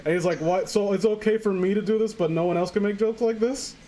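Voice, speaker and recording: Guy w a bit higher voice reading a story n riffing on it, keeps stumbling, bg noise. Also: quick pace, warm tone. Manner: stumbles over words and digresses while reading. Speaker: host reading a horror story aloud with commentary. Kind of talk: casual comedic read-aloud with ad-libbed riffing. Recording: clear background noise.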